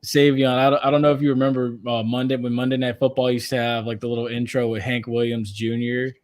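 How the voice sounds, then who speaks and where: A man in his twenties with a medium-pitched voice hosts a sports podcast. He talks casually and tells little stories in an animated way, acting out what people said. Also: quick pace. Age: 20s. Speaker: podcast host.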